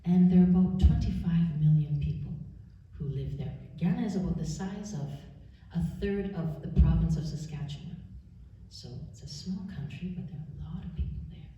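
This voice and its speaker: A woman in her forties from Canada giving an earnest, measured personal testimony at a church service, pausing often. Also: deep voice, warm tone, slight background noise. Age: 40s. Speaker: church speaker. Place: church sanctuary. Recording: roomy church, distant mic, dull sound.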